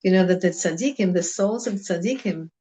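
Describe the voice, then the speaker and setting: A woman's voice: fairly low pitch, a little fast, warm tone, a little hiss or hum. A woman teaching an informal religious class on the Psalms, talking in a conversational, digressive way and mixing Hebrew terms into her English. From United States.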